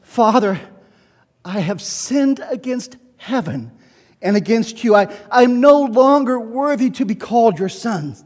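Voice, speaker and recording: A man's voice: extremely high pitch, animated, a little slow, a little mellow, pitched above the performer's own voice, heavily acted. A man playing a penitent, desperate young prodigal son in a dramatic Bible reading, pleading. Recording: echoey church hall, long reverb.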